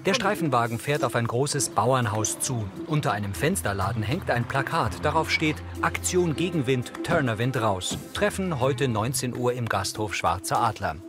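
A man narrates scripted audio description in short, neutral sentences. His voice sits at a medium pitch, with clear music underneath. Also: animated, moderate pace, few pauses. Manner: neutral, concise.

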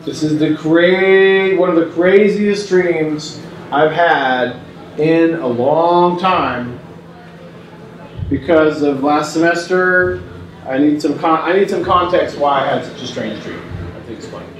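A man in his forties reads interview answers aloud in a measured, unhurried way, stopping to restart phrases, in a small indoor gallery room. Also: on the high side. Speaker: performer reading interview notes. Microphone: far camera mic. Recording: roomy distant sound with faint ambience.

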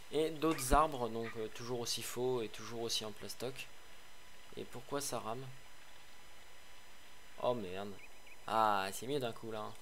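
Chatty, slightly hoarse French man. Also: medium pitch, a little hiss or hum. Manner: chatty, rambling, many fillers and restarts; sarcastic asides. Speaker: gaming video host. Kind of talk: casual game review commentary.

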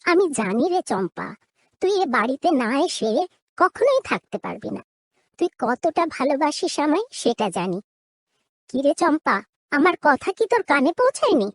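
Woman playing a high-voiced, stubborn household mistress. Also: no echo. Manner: firm, familiar, unyielding. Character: stubborn but fond lady of the house. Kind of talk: cartoon drama voice acting.

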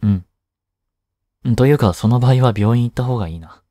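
A man plays a young male tutor in an intimate audio drama. His voice is mid-pitched, mellow and soft, recorded dry. He takes his time, soothing and gently scolding with a teasing, caring manner. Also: a little fast, no room sound, close to an everyday voice. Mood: gentle, caring. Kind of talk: intimate one-sided voice drama aimed at women.

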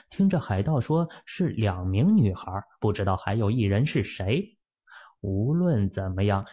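A man narrating a fantasy novel as an audiobook, in a steady, measured storytelling manner, in a muffled lo-fi recording. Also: on the low side, slightly rough.

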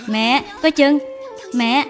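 A woman playing a gentle, dutiful young daughter-in-law in a cartoon dub, patient, sorrowful and respectful. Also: high-pitched, drawn-out words, faint music behind. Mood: pleading. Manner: meek, pleading, respectful. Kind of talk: dubbed cartoon drama acting.

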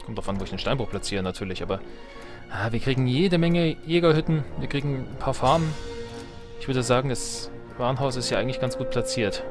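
A man's voice: medium pitch, fairly quick. A man giving casual gameplay commentary, thinking aloud with wry self-mockery. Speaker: let's play host. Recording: game music behind the voice. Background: video game music.

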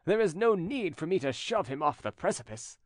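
A man acting a high-pitched, jealous scheming suitor. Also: sing-song, fairly quick, dry recording, studio quality. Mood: gloating, scheming. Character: jealous, scheming, spurned male cousin and suitor.

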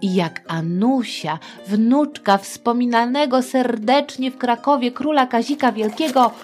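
A woman hosting a children's history podcast, telling the story in a playful, chatty, expressive manner. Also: a little high, a little slow, faint music behind.

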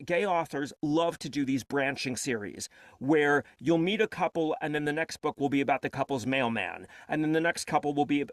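A man's voice: fairly high pitch, no room sound. A man who narrates audiobooks, talking expressively on a video call from a home studio with acoustic foam, with a headset. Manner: expressive, gestures a lot. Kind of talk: animated panel talk. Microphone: earbud headset on video call.

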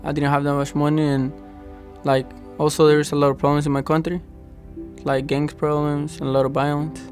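Young male interviewee, even and hesitant, personal story. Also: on the high side, a little fast, soft background music.

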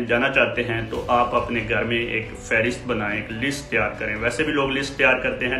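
A man in his forties giving measured advice to camera from a small room at home, pausing often with fillers. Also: medium pitch, sharp tone. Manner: measured, with frequent pauses and fillers. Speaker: commentator. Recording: roomy small room with faint music.

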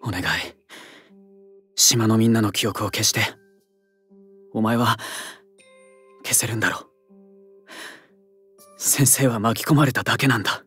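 An actor playing an earnest, reserved schoolboy in an anime audio drama, speaking softly and hesitantly. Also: male voice, fairly low pitch, unhurried, long pauses, husky, soft background music, full character voice. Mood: subdued, pleading.

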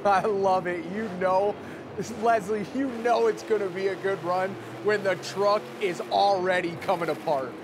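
A man doing excitable color commentary at a motorsport show, urging the drivers on. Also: sits high, moderate pace, noisy background. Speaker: sports commentator. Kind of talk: arena sports commentary.

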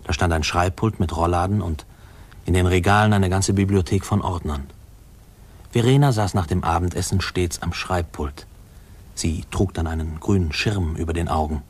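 Very deep, husky reflective narrator. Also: male voice, normal speed. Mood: reflective, uneasy. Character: reflective man recalling his fearful, spying boyhood. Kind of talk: intimate 1950s radio play narration.

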